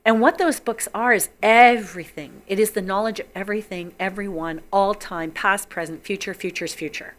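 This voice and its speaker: A woman hosting a psychic call-in show, her voice husky from being sick, chatty and enthusiastic, repeating words for emphasis. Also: medium pitch, fairly even intonation, moderate pace, few pauses. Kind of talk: casual talk-show monologue.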